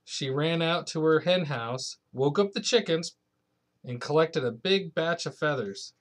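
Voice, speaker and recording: A young adult man with a slightly high voice reads a picture book aloud. He speaks as a parent to his child, slipping casual asides into the bedtime story. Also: a little slow.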